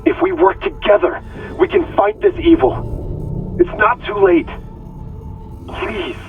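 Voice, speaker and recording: A man's voice: high-pitched, sing-song, slow, creaky, faint music behind, exaggerated voice. An actor playing a haunted, earnest male scientist in a sci-fi horror game, fervent and troubled. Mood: pleading, solemn. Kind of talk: video game voice acting. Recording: muffled radio transmission.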